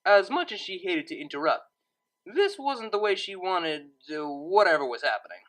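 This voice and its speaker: A woman reading a story aloud as narrator, in expressive scripted narration. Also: lowish voice, moderate pace, low-quality recording.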